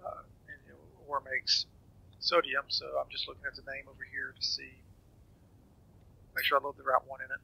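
Raspy, bright-voiced man explaining a game. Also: medium pitch, monotone, moderate pace, pauses often, poor audio. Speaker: gamer giving a tutorial. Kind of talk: casual game walkthrough commentary.

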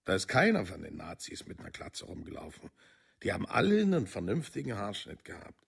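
An actor playing a plain-spoken, exasperated middle-aged East German parent, halting and colloquial, in a documentary radio play. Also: male voice, fairly low pitch, huge pitch swings, exaggerated voice. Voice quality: gravelly, husky. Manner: colloquial, halting, seeking agreement.

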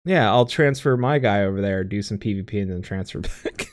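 A man's voice: lowish voice, fairly quick, smooth, dry recording, studio quality. A man in his thirties co-hosting a casual gaming stream, rambling with lots of fillers, laughing and swearing as he goes. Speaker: podcast co-host. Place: home room with green screen.

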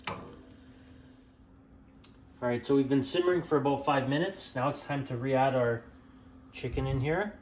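A young man explains a recipe as he cooks, in a casual, unhurried voice of ordinary pitch. He corrects himself now and then and fills pauses with small "uh"s. Faint kitchen noise and frying hum sit behind him. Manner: relaxed and plain-spoken, with frequent "uh" and "um" fillers and small self-corrections. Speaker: home cooking channel host. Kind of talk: step-by-step cooking instruction. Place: home kitchen at the stove.

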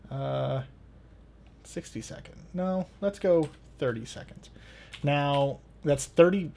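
A man's voice: medium pitch, darkish tone. A man in his thirties hosting a tutorial, talking casually through each step. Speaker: tutorial host.

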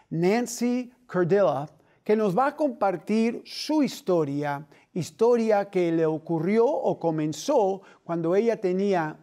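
Middle-aged male TV host, slow and earnest, mellow voice, studio setting. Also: high-pitched. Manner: earnest, measured, with gentle hand gestures.

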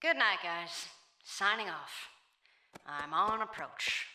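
A woman playing a cool, capable superheroine in an animated game. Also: on the low side, takes it slowly, noisy background. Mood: focused. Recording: music behind voice.

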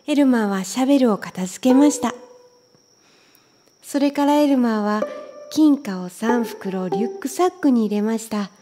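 A woman reading a children's story aloud as scripted narration. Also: a little high. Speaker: storybook reader.

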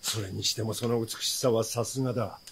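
A powerful old-world nobleman in a radio drama, with a low voice. He speaks in formal, archaic phrases, smug and certain that he knows everything. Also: steady tone, fairly quick, creaky, a little hiss or hum. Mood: smooth welcome. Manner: formal archaic lordly speech. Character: powerful nobleman villain, smug, all-knowing, courtly.

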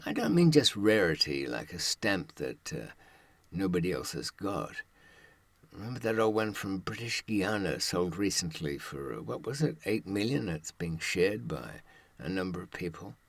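A man in his seventies giving a reflective monologue, musing haltingly and posing rhetorical questions in a husky voice. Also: deep voice, big pitch swings, no echo. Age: 70s. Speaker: presenter.

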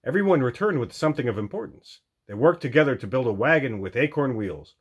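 A man reading a children's storybook aloud as an animated storytime narrator. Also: medium pitch, quick pace, slightly rough. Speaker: storytime reader.

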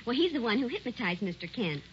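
A desperate, trusting wife with a high, breathless voice. She speaks in melodramatic repetitions, as in vintage radio horror. The recording is muffled, with clear noise. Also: very animated, fast. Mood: uneasy. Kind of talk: old-time radio horror acting.

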